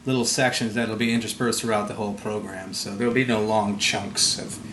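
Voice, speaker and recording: A man conducting a casual interview, talking in a rambling, halting way. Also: lowish voice, fairly even intonation. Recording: roomy echo, background noise.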